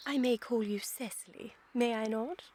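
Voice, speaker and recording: A woman's voice: on the high side, normal speed, darkish tone, a little hiss or hum, close to an everyday voice. A British woman playing an imperious, self-assured young aristocrat in a comic period radio play, emphatic and mannered. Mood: gushing.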